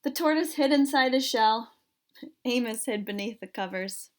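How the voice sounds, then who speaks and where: Woman, high voice, children's read-aloud, measured expressive narration. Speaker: storybook reader for children.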